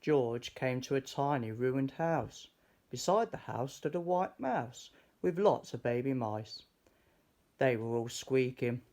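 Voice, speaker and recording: A man reading a children's picture book aloud in a deliberate, expressive way. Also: medium pitch. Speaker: storybook reader.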